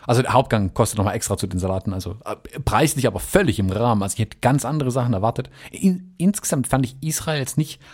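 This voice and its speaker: A man's voice: medium pitch, lively intonation, fast talker, few pauses, darkish tone. Chatty German-speaking man telling a travel story. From United States. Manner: rambling, chatty, many fillers. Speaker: podcast guest.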